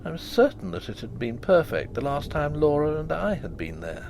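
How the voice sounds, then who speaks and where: A man's voice: a little high, sing-song, normal speed, gravelly, a little mellow, a little hiss or hum. A man reading a gothic ghost story aloud with dramatic, suspenseful delivery.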